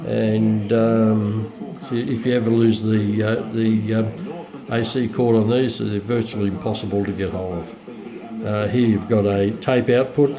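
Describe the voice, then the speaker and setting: A man giving a casual, unscripted product demonstration as a hobbyist collector, speaking haltingly with very frequent ums and pauses. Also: fairly low pitch, steady tone, a crowd in the background. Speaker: radio collector presenting a receiver.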